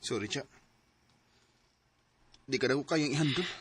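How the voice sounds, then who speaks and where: An actor playing an evasive, coaxing young boyfriend in a radio drama. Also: male voice, mid-range voice, unhurried, a little hiss or hum, close to an everyday voice. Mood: regretful, firm. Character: evasive young boyfriend, soft-spoken but unfaithful.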